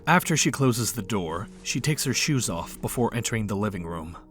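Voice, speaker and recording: A man's voice: fairly low pitch, lively intonation, moderate pace, a bit bright, soft background music. A man reading scripted third-person narration as the storyteller of an audio drama.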